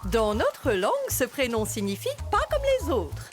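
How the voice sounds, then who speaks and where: A woman's voice: fairly high pitch, wildly swooping pitch, normal speed, faint music behind. A woman voicing a sly cartoon leopard seal, sweet-talking in a syrupy, theatrical way with a menacing undertone. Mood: sugary, coaxing. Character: sly female leopard seal posing as a kindly friend. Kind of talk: dubbed animated series acting.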